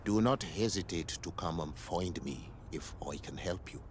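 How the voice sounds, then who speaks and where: Low-voiced, wise clansman played by a man. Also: slight background noise, lightly acted. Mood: calm, caring. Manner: formal, measured, poetic phrasing. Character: courteous, wise male native clansman, calm and perceptive. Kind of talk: game cutscene acting.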